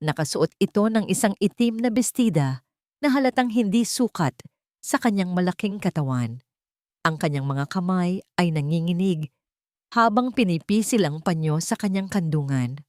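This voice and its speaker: A woman narrating a scripted romance story in a measured, dramatic way, phrase by phrase. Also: fairly low pitch, lively intonation, darkish tone, no echo, clean studio sound.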